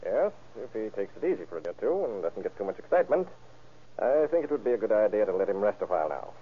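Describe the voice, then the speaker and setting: Deep-voiced old radio doctor. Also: male voice, big pitch swings, fast, breathy edge. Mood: calm, practical. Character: matter-of-fact male doctor, calmly practical. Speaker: actor in a crime radio drama. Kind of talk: old-time radio acting. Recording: muffled, band-limited vintage radio recording.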